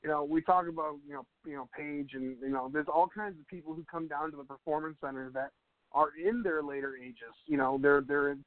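A man in his thirties with a fairly high voice chats on a radio show, stumbling through restarts and rambling on. The sound is dry and squeezed thin like a phone line. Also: brisk, dry recording. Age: 30s. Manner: stammering restarts, laughs easily, rambling. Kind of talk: casual radio chat.

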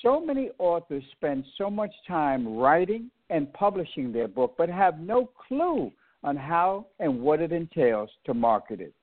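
A man's voice: fairly high pitch, sing-song, a little slow, few pauses. Radio host man on a tinny line. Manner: affirming, asks long setup questions. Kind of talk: interview hosting.

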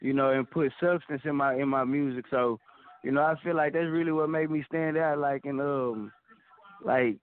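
A young man from Dallas speaks, relaxed and rambling, stacking "like" and "you know" as he talks about his music. His voice sits slightly high for a man. It comes through a tinny, phone-like line. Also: moderate pace. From Dallas, Texas. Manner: laid-back, loose, full of "like" and "you know". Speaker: rapper guest. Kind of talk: casual interview talk.

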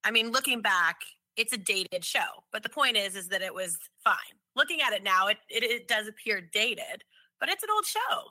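A woman's voice: mid-range voice, expressive, moderate pace, bright, forceful tone, no room sound. A woman co-hosting a podcast in casual chat, talking in an easygoing, informal way. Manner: easygoing, informal, laughs readily. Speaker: podcast co-host.

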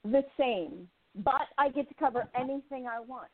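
A woman's voice: high voice, normal speed, dry recording. A woman playing a spirited, independent young journalist in an amateur radio play, speaking earnestly and with feeling. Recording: tinny phone-like sound.

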